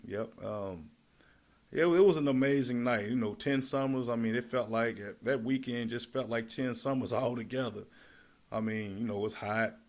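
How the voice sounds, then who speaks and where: A man with a Kentucky accent, a fight promoter chatting in an interview, relaxed and rambling, laughing easily. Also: mid-range voice, moderate pace. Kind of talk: casual interview chat.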